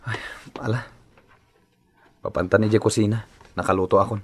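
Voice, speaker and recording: A low-voiced, weary husband. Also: male voice, steady tone, normal speed, slightly rough, no echo. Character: weary, torn husband and doting father. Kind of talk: Ilocano radio drama acting.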